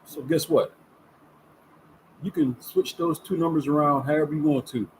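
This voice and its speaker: A man's voice: fairly high pitch, fairly even intonation, moderate pace, darkish tone, slight background noise, rough recording. A man in his fifties hosting a casual sports talk show, chatty and folksy, leaning on words for emphasis. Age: 50s. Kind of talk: casual sports commentary vlog. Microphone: camera setup with ring light.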